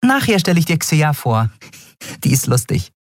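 A man's voice: a little high, sing-song, normal speed, dry recording, studio quality, voice pushed up. A man voicing a tiny fairy-like woman in an audiobook, cheerful, friendly and reassuring.

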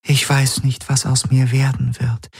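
A man voicing a sorrowful, fading female spirit who speaks softly and half in whispers to her master. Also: medium pitch, level tone, a little slow, creaky, husky, no echo, studio quality, voice pushed up, full character voice. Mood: sad. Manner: soft, pleading, partly whispered.

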